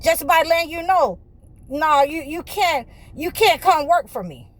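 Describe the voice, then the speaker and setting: A woman spiritual healer preaching to her viewers in a fervent, repetitive way, full of restarts and "you know" fillers, and sometimes rising close to a shout. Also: high-pitched, lively intonation, unhurried. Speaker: spiritual healer and channel host.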